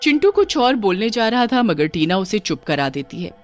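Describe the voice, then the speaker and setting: A woman's voice: medium pitch, sing-song, normal speed, soft background music. A woman narrating a scripted children's horror story.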